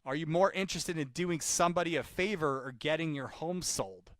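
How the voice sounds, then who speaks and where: A man's voice: fairly high pitch, brisk, fairly bright tone, dry recording, clean studio sound. Informal, chatty man coaching sales calls. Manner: informal, chatty, repeats points for emphasis. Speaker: sales caller and trainer making live outreach calls. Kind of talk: live cold-call demonstration with coaching talk.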